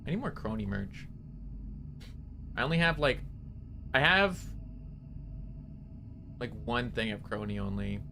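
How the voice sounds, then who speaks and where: A man's voice: mid-range voice, big pitch swings, unhurried, creaky, pauses often, noisy background. A young man with a nasally voice, rambling casually to his chat as a streamer while playing a game. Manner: rambling, chatty, answering chat.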